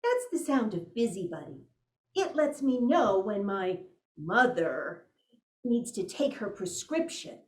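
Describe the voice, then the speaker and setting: A woman playing a dutiful daughter pitching a product in a 1940s radio commercial, sing-song and presentational, in an echoing room. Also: big pitch swings, unhurried, a little mellow. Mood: sweet, salesy. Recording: roomy, echoing stage sound.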